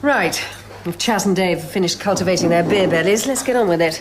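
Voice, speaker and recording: An actress playing a brusque, sarcastic feminist documentary film-maker in a naturalistic radio drama. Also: female voice, fairly low pitch, a little fast, background noise, lightly acted. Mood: brisk, impatient. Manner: sarcastic, bossy.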